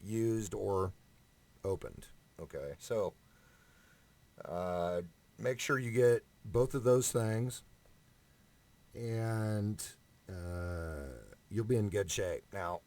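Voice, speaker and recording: A man's voice: lowish voice, very slow, long pauses, a little mellow. A man ranting casually in a vlog, blunt, emphatic and sweary, speaking right up close to a condenser microphone. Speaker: amateur singer giving audition advice. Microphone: condenser microphone up close, no preamp.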